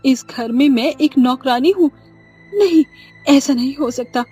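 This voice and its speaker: A woman's voice: high-pitched, a little slow, dark tone, soft background music. A woman playing a wronged, despairing young bride in a melodramatic cartoon story. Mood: shocked, despairing.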